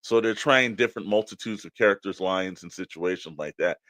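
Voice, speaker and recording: A man's voice: low voice, brisk, a bit bright, no echo. A man co-hosting a podcast, chatting casually and off the cuff, giving his opinions in a rambling, colloquial way. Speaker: podcast co-host discussing collectible toys. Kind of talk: casual unscripted opinion chat.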